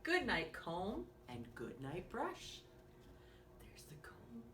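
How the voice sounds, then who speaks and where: A woman's voice: medium pitch, wildly swooping pitch, slow. A woman hosting storytime for young children, speaking in a sing-song way with simple questions for her small listeners. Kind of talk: read-aloud storytime and craft lesson for young children. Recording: echoey room, distant mic, faint noise.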